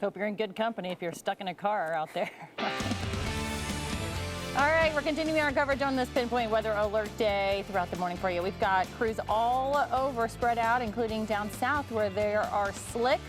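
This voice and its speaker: Female morning news anchor, mid-pitched, conversational, with clear music underneath. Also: normal speed.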